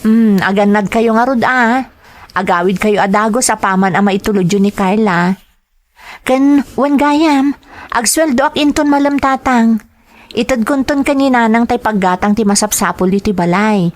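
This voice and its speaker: A woman's voice: on the high side, normal speed, pitched above the performer's own voice, lightly acted. A woman with a Filipino accent playing a caring, worried adult daughter in an audio drama. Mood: reassuring.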